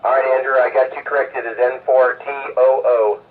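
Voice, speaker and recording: A man's voice comes through a narrow, phone-like radio channel, sounding away from the mic. He hosts an amateur radio net informally, with hesitant, halting phrasing. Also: medium pitch, flat delivery, recorded from a distance. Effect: amateur radio transmission. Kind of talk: informal radio net check-ins and announcements.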